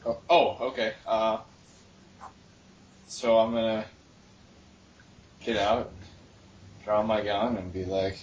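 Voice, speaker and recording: A man's voice: on the low side, fairly even intonation, takes it slowly, pauses often, highs cut off. Distant-mic man playing a tabletop game. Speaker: player.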